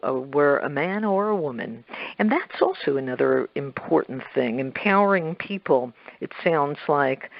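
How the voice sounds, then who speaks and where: Female talk show interviewer, deep for a woman, careful stop-start questioning, muffled lo-fi recording. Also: no room sound. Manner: halting, deliberate phrasing with rephrasing.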